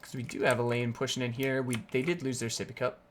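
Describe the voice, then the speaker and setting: A streamer's voice, raspy and sore, talks through a match live in a middle pitch, explaining each play and now and then jumping into excited outbursts. Faint keyboard clicking sits quietly behind the voice at the desk mic. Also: quick pace, background noise. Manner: upbeat, explains plays, with sudden excited outbursts. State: raspy, sore voice from energy drinks. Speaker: game streamer. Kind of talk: live gameplay commentary.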